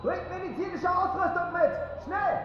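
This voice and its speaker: Distant, echoing, high-pitched man acting a panicked soldier. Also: steady tone, normal speed, noisy background. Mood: alarmed. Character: panicked male German soldier shouting for help. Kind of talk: cinematic audio drama acting. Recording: distant echoing hall, dull band, loud music behind.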